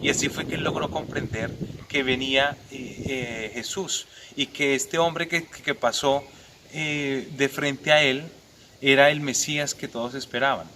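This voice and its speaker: A young adult Franciscan friar from Bogotá with a Colombian accent gives a calm, reflective pastoral message outdoors by a church, pausing often with "eh". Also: male voice, medium pitch. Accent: Bogotá Colombian Spanish. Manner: calm reflective preaching with frequent "eh" pauses. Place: outdoors at a convent beside a church. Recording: clear outdoor background noise.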